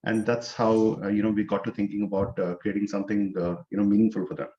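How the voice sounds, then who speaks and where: A lower-pitched man talks through his business in a measured, explanatory way. He leans on "you know" fillers as he goes. The audio is dull and lo-fi, like a video call. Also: level tone, mellow. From India. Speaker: startup co-founder guest. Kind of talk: business interview answers.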